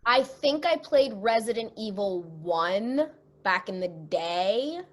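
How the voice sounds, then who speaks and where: Casting: female voice actress as interview guest, chatty and expressive, average pitch. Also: slow. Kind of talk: casual interview chat.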